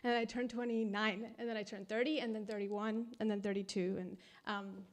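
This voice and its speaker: A woman in her thirties performing poetry at a live reading, mixing English and Spanish, swinging between hushed whispers and loud cries. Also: mid-range voice, level tone, a little slow, a little hiss or hum. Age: 30s. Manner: dramatic, shifting from whispers to screams. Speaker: poet reading at a live event.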